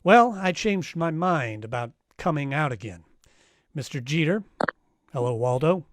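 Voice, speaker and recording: An actor playing a wry, tough private detective, narrating and questioning in an old-time radio crime drama. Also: male voice, fairly high pitch, lively intonation, unhurried, background noise. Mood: guarded. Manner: wry, matter-of-fact.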